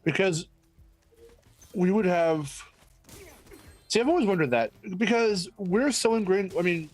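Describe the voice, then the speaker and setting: A man's voice: sits high, lively intonation, unhurried, faint music behind, rough recording. A man from the United States chatting on a stream, rambling and joking with frequent restarts. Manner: rambling, jokey, restarts often. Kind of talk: casual chat.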